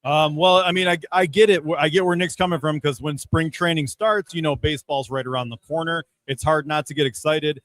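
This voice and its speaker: Slightly high-voiced man analysing sports. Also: steady tone, a little fast, hardly any pauses, fairly bright tone, no echo. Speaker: sports podcast guest.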